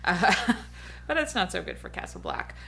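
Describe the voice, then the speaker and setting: A woman with a husky voice co-hosting a podcast. Her voice sits low and turns gravelly, and she talks in a chatty way, laughing often. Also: huge pitch swings, normal speed, a bit bright, slight background noise. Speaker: podcast co-host. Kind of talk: casual chat recapping a TV episode.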